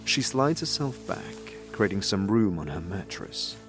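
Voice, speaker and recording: A man reads scripted fantasy narration as a storyteller. His voice sits low and he takes his time, with lively rises and falls across the phrases. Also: measured pace, music in the background. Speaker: narrator and game character reader.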